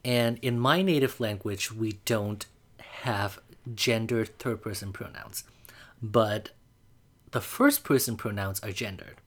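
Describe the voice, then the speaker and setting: A man, calmly telling a personal coming-out story to the camera, often pausing on "like" as he thinks. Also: lowish voice. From Burma. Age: 20s. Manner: reflective, unhurried, frequent "like" fillers.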